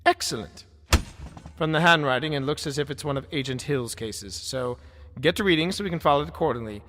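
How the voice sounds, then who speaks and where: A man's voice: fairly high pitch, a little fast, a bit bright, natural voice. A man playing a prim, bookish agent in an audio drama, speaking formally and precisely with dry humor. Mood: brisk, encouraging. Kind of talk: full-cast audio drama acting. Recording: long reverberant hall echo.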